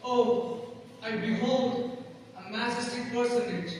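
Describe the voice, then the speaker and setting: A man acting a pious, earnest young boy in an amateur stage play, with slow, declamatory delivery in an echoing hall. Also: very high-pitched, fairly even intonation. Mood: awed. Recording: echoey hall, distant mic, faint noise.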